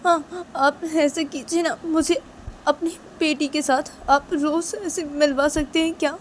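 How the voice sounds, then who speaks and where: A woman's voice: high-pitched, fairly even intonation, unhurried, slight background noise. A woman doing a tearful pleading young employee. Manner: begging, repeats please.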